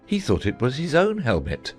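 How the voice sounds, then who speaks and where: Give the part of a gentle old knight to a man acting it out, a solemn, muddled inventor in a storybook drama. He rambles earnestly and thoughtfully, his pitch swinging widely from low to high, with faint music behind him. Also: wildly swooping pitch, moderate pace. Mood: solemn, rambling.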